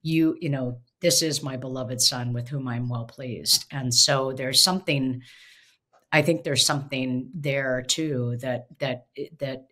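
A woman's voice: a bass voice, fairly even intonation, measured pace, fairly bright tone. A middle-aged woman, a professor, thinking aloud reflectively in a casual theological discussion, recorded over a video call from a home office. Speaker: professor and podcast co-host. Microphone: video call webcam.

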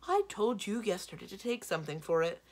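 A woman voicing a bossy, practical giantess wife in a storybook, brisk and scolding in manner. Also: on the low side, sing-song, gravelly, a bit bright, breathy edge, full character voice.

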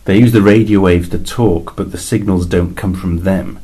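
A weary alien envoy, voiced by a man, very low-pitched with a husky, dark tone. He explains things in a dry, matter-of-fact way, with flashes of exasperated emphasis, in a science fiction story read aloud. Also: fairly even intonation, normal speed.

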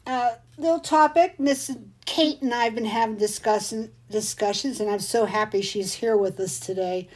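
An older woman with a slightly hoarse, muffled voice, chatting in a folksy, rambling way as she hosts a craft live stream. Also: a little high, a little mellow.

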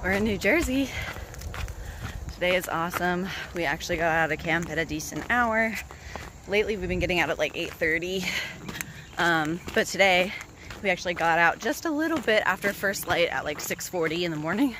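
A woman's voice: on the low side, animated, fairly quick. Casual female hiker, noisy outdoor recording. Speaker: vlogger hiking a long trail. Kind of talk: casual chat to camera. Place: outdoors on a hiking trail.

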